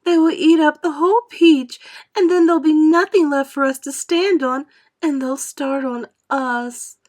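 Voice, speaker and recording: Woman voicing a high, pleading storybook spider. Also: measured pace, a little mellow. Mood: despairing. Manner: urgent, pleading. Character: anxious, dramatic female spider, pleading and fearful. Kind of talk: storybook character voice in a read-aloud.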